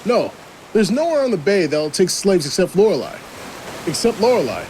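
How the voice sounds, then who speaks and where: A man's voice: high-pitched, big pitch swings, normal speed, a little mellow. A man acting in an audio drama as a matter-of-fact seafarer with a dry sense of irony. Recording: light wave noise behind.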